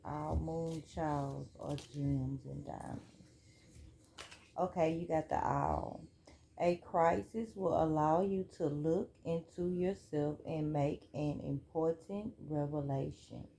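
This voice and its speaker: Slow, gravelly-voiced woman reading cards. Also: low voice, slight background noise. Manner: relaxed, chatty, reads cards aloud. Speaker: spiritual card reader. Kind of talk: casual card reading vlog. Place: home room.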